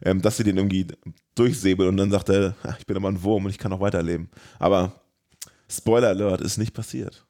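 A man's voice: low voice, steady tone, fast talker, dark tone. A man discussing a film casually as a podcast host, in colloquial and enthusiastic language.

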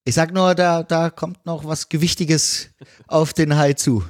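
A man's voice: on the high side, steady tone, unhurried, no room sound, studio quality. A man in a casual film discussion, speaking wryly and hesitantly, often repeating words.

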